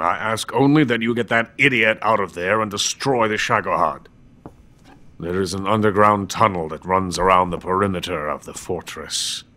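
Deep-voiced, bitter old Soviet scientist. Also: male voice, pushed and bright. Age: older. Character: bitter, drunken, proud older male Soviet weapons scientist. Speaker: game character actor. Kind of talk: dramatic video game cutscene acting. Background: music.

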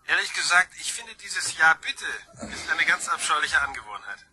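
A sly, freeloading brother in a radio crime drama. His voice is highish and very sharp, with a husky edge. He taunts theatrically, with lively pitch and mock sweetness. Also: moderate pace, exaggerated voice. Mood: mocking, needling. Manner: mocking, theatrical, falsely sweet.

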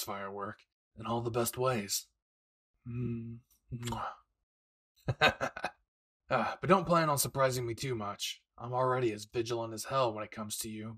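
A man playing a brash but devoted young husband and excited father-to-be in an intimate, teasing, tender audio roleplay. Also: medium pitch, slightly rough. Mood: tender, amused, then playful, teasing.

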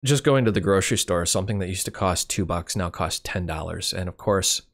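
A middle-aged man hosting his own channel, talking casually and candidly through his year, his voice hoarse and cracking. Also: sits low, brisk. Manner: candid, rambling, wry. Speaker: YouTube channel host. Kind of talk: casual year-in-review monologue.

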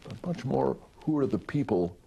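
An older priest hosting a television interview in a studio, chatty and genial, on a lapel mic. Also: male voice, medium pitch, animated, normal speed, creaky, over a noisy crowd. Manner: genial, chatty, laughs easily. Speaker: priest, TV host. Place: studio set, indoors.

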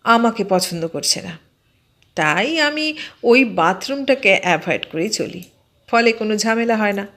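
A woman voicing a mild, stubbornly sceptical man in an audio story, explaining things in a measured, matter-of-fact way. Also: mid-range voice, expressive, a bit bright, close to an everyday voice. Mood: calm, unruffled.

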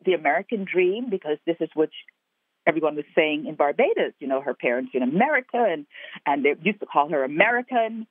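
A woman's voice: low voice, animated, brisk, slightly rough, dry recording. Woman on a tinny phone line. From Guyana. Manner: earnest, reflective, builds in repeated phrases. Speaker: actor and playwright guest. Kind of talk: interview over the phone. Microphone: telephone.

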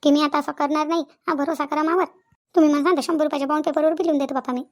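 A woman's voice: high voice, fairly even intonation, very fast, close to an everyday voice. A woman playing an aggrieved middle-aged village mother-in-law in a cartoon comedy, complaining repetitively in a self-pitying way, with a Vidarbha Marathi accent. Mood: pleading. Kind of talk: cartoon family comedy dialogue.

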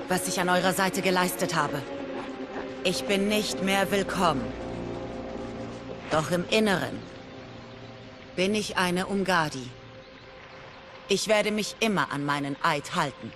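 An actress playing a stern, loyal royal guard warrior burdened by past failure, in dramatic video game dialogue. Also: female voice, fairly low pitch, slow pace, pauses often, over music. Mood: earnest, firm. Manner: solemn, resolute.

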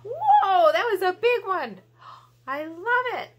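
A woman's voice: very high voice, big pitch swings, takes it slowly. A woman in her thirties presenting a children's storytime in a playful, exaggerated, encouraging manner, recorded in a small room at home. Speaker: library storytime host.

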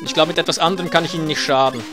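A man's voice: fairly high pitch, steady tone, racing. A man giving casual, sarcastic commentary while playing a retro game, swearing when he gets hit. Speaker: let's play gamer. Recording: game music behind voice. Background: faint game music.

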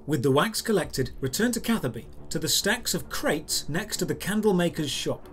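A man reading a scripted step-by-step game tutorial in a clear, instructional way. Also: a little high, animated, moderate pace. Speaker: video guide narrator. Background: background music.

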